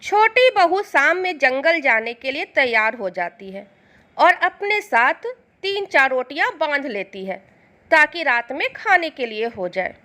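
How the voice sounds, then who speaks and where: A woman's voice: high-pitched, very animated, measured pace, bright, forceful tone. A woman narrating a scripted moral storybook tale as the storyteller.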